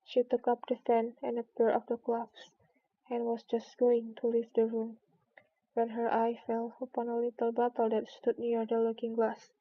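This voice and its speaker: A woman's voice: a little high, monotone, moderate pace, phone-quality sound. A woman narrating a children's storybook, reading it aloud.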